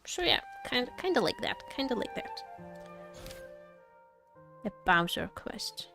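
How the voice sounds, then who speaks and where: A woman's voice: on the high side, sing-song, measured pace, gravelly, sharp tone, soft background music. A woman livestream host chatting casually, rambling and searching for her words as she goes. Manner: rambling, hesitant word searching.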